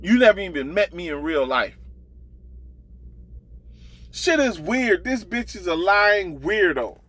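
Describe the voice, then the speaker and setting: A man's voice: high-pitched, sing-song, slow, fairly bright tone. A man telling a casual gossip story as an online commentator, blunt, profane and exasperated, driving his points home with emphatic repetition.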